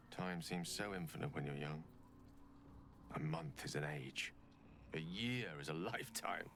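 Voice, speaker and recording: An actor playing a refined, wistful wizard in a video game, speaking eloquently and reflectively. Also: male voice, deep voice. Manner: eloquent, reflective, measured phrasing. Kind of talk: video game dramatic acting. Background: music.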